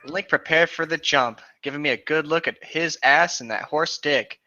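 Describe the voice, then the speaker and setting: A man's voice: on the high side, normal speed, bright, forceful tone, muffled recording. A man reading a story aloud and breaking off for casual, joking commentary. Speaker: reader on a bad-fanfiction reading show.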